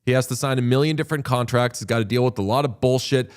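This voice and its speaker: A man's voice: medium pitch, fast talker, clean tone. A man hosting a podcast, chatting casually and animatedly about hockey, swearing freely. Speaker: podcast host. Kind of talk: casual sports chat.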